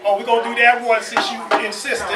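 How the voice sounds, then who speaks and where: A man hosting a live stage event, addressing the audience in a loud, exclamatory, rallying manner. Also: extremely high pitch, little pitch movement, brisk. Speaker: event host. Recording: echoey, distant live event with crowd.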